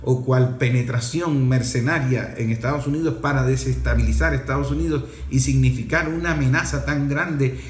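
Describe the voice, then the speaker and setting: A man's voice: medium pitch, darkish tone. A man giving unscripted political commentary in an emphatic, opinionated and explanatory manner. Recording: echoey room, faint background noise.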